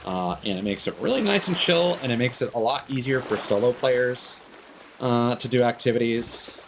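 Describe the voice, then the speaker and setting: A man's voice: mid-range voice, lively intonation, moderate pace, slight background noise. A man giving casual live game stream commentary, rambling and thinking aloud into a streaming microphone.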